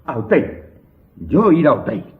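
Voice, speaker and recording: A deferential, uneasy steward. His voice is very raspy and swings widely in pitch as he humbly serves his master. The radio drama sound is muffled. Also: wildly swooping pitch, measured pace, heavily acted. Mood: alarmed. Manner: obsequious, faltering. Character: deferential, nervous male steward serving a count. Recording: muffled, dull radio play audio.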